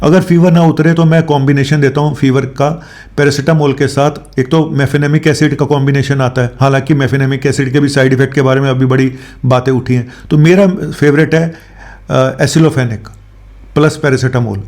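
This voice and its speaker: A man with a medium-pitched voice gives informal medical advice as a doctor hosting a show. He talks conversationally to viewers, mixing in English medical terms and often checking in with short tag questions. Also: steady tone, normal speed, darkish tone. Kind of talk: informal health explainer talk to viewers.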